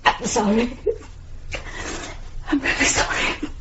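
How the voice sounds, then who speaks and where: A woman's voice: on the high side, steady tone, slow, heavy background noise. An actress playing an anxious, insistent middle-aged mother in a radio drama, pleading with a British accent. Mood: tearful. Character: distraught, insistent mother of a missing daughter. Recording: dull, lo-fi 1970s radio recording.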